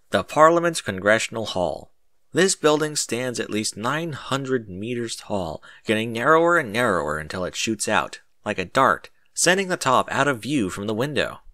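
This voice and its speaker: A man reading a first-person story aloud in an expressive, dramatic way. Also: fairly low pitch, no echo, studio quality. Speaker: narrator. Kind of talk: first-person story narration with acted dialogue.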